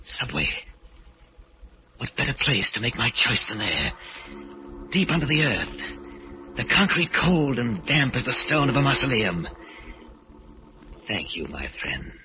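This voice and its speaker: A man's voice: mid-range voice, sing-song. A man playing a menacing killer who believes he is Death, in brooding, theatrical old-time radio horror style. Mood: brooding menace. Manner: brooding, theatrical, deliberate soliloquy. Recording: muffled old radio recording with music.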